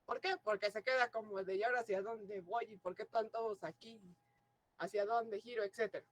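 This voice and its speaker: A woman's voice: mid-range voice, steady tone, brisk. A Mexican woman teaching a class, explaining ideas and often checking in with her students through questions. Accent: Mexican Spanish. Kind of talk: classroom lecture.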